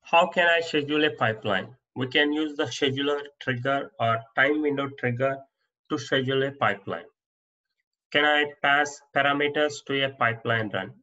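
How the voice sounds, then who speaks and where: A man teaching as an instructor, reading interview answers off slides in a plain, steady way with small restarts. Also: medium pitch, moderate pace, highs cut off. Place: voice-over on slide presentation.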